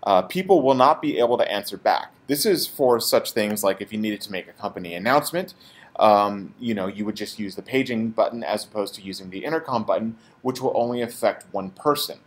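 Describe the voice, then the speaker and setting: Low-voiced man giving a tech tutorial. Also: animated, fairly quick. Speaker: tech support technician, presenter. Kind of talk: product tutorial demonstration.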